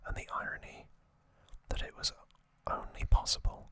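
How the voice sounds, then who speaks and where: An ASMR host narrating in a breathy, unvoiced whisper, slow and unhurried, close to the microphone. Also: dry recording. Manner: slow, unhurried, gently repeats words for effect. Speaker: host of an ASMR trivia channel. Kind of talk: whispered ASMR narration, summarizing an anime saga.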